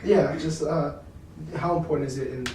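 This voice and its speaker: A noisy, heavily echoing recording with the speaker away from the mic. An interviewer puts short, informal questions at an unhurried, slow pace. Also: medium pitch, fairly even intonation, warm tone. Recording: very echoey room, distant mic, noisy.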